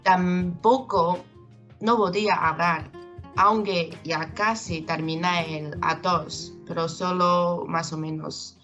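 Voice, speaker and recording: A woman's voice: on the low side, fairly even intonation, takes it slowly, fairly bright tone, soft background music. A young woman whose first language is Chinese, talking casually over a video call and often searching for words. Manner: hesitant, searching for words, many restarts. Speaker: interview guest, Chinese teacher. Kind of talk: casual interview in learner Spanish. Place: home in Galicia, video call.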